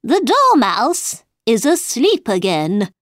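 A woman's voice: fairly high pitch, huge pitch swings, takes it slowly, no echo, clean studio sound, pitched above the performer's own voice, heavily acted. A woman voicing an eccentric, irritable man in a children's storybook, blunt and emphatic. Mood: matter-of-fact. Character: Mad Hatter, eccentric irritable man at tea party.

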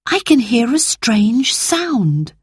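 A woman's voice: on the high side, sing-song, slow, slightly rough, no echo, studio quality, voice pushed up, full character voice. A woman reading aloud and voicing a sensible eldest girl in a storybook, with an earnest manner.

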